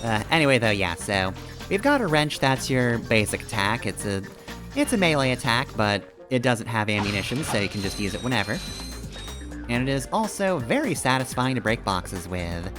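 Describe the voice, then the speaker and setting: A man gives casual live game commentary in a rambling, analytical way with a wry edge. His pitch is average and moves around a lot, and game music plays clearly behind him. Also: big pitch swings, normal speed. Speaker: game streamer. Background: video game music.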